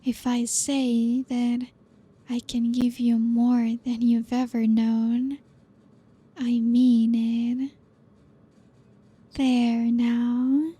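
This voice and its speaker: A woman playing a possessive female vampire who coaxes her guest in a soft, breathy ASMR roleplay. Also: a little high, exaggerated voice. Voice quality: soft breathy whispery delivery. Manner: slow, teasing, coaxing.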